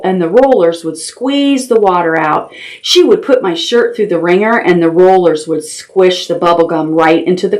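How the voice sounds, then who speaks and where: A woman hosting a children's storytime, reading aloud expressively and talking simply and directly to young listeners. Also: lowish voice. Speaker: library storytime host. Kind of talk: children's storytime with action songs and read-aloud.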